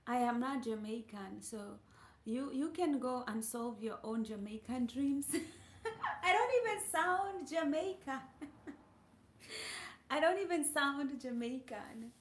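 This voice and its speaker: Woman streamer, slow teasing banter, slightly high voice, roomy echo. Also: expressive. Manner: playful, teasing, laughs often. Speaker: livestream host. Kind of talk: casual chat with viewers.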